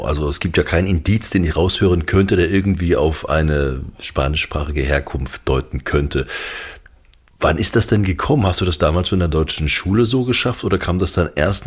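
Very deep, husky male interviewer. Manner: curious, probing questions. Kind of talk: conversational interview questions.

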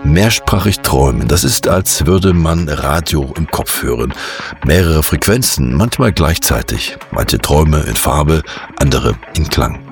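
A man hosting a radio interview, asking calm, reflective questions. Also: a bass voice, measured pace, creaky, faint music behind. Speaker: radio host.

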